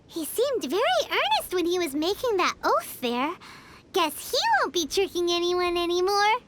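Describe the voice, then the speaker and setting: A woman's voice: big pitch swings, normal speed, a little hiss or hum, heavily acted. A woman voicing a small, curious fairy companion who speaks of herself in the third person, in a video game dub. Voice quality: squeaky childlike high voice. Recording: game audio with loud music behind.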